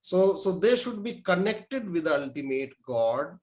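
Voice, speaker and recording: A man, an Urdu speaker, answering interview questions as a scholar and pausing often with fillers and restarts. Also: high voice, sing-song. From India. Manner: hesitant, many filler sounds and restarts.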